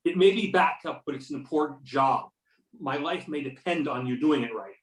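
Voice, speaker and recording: A man's voice: on the high side, moderate pace, lightly acted. A man playing a determined investigator in readers theater, matter-of-fact and commanding, over a remote call. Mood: firm, serious. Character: Beckett, determined male investigator giving orders. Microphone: remote videoconference or phone call. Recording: roomy, distant mic on conference call.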